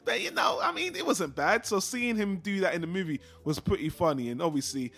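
A man's voice: high-pitched, sing-song, brisk, fairly bright tone. A man co-hosting a film discussion show, giving blunt opinions in a casual, sweary style.

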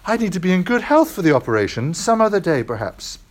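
A cowardly ghost voiced by a man begs and whimpers, his voice climbing high and lurching up and down. He sounds cornered and desperate in this storybook reading. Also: sing-song, fast talker. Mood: evasive. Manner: whining, high and strained when panicked. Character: frightened, pleading male ghost.

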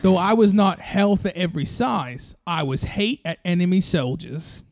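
A man's voice: high voice, animated, normal speed, darkish tone. A man co-hosting a comedy podcast, riffing an absurd improvised story in a breezy mock-earnest tone and chuckling along. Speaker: podcast co-host.

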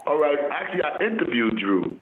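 A man's voice: on the high side, sing-song, a little fast. Brief New man, DJ guest, rambling, heard over a thin phone line. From New York City. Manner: rambling, repeats words while searching for them. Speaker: radio DJ and filmmaker, guest. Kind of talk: casual phone interview. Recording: tinny phone line that cuts out.

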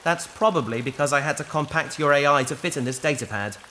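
A woman playing a patient, wry adventurer in a sci-fi audio drama, dryly and gently correcting an AI companion. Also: deep voice, quick pace, slight background noise. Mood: matter-of-fact.

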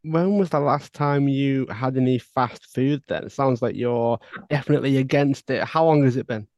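A man's voice: medium pitch, moderate pace, a little mellow, no echo. A man hosting a podcast, asking his guest friendly, direct questions. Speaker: podcast host. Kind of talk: interview questions.

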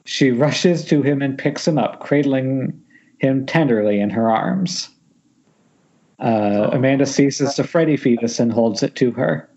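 A man with a mid-pitched voice narrates stage directions in an informal, wry way. The audio is dull and lo-fi, like an internet call. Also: a little slow, darkish tone. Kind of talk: informal script table read narration.

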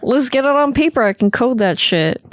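A woman's voice: sits high, expressive, moderate pace, dry recording, clean studio sound. A woman co-hosting a podcast, giving her opinions on anime in an informal, chatty way. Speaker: podcast co-host. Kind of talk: casual anime discussion.

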